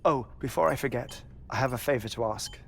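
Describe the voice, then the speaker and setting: An actor playing a gentle, obsessive male scientist with a weak heart, who talks in a soft, thoughtful, deliberate way. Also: mid-range voice, creaky, noisy background, lightly acted. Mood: musing. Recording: game cutscene with music behind.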